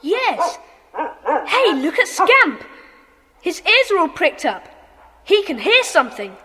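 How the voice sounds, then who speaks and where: A woman playing a bold but cautious schoolboy gang leader, brisk and commanding, in a children's audiobook drama. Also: very high-pitched, heavily acted. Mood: excited, urgent. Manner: brisk, commanding, hushed excitement. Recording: echoing hall, faint noise.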